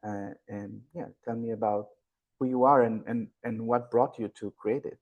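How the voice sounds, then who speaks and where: A man hosts an interview, with a voice at a middle pitch for a man. He introduces his guest hesitantly and fills his sentences with pauses. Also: fairly even intonation, narrow, tinny audio. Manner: hesitant, many fillers. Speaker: host. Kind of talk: interview host.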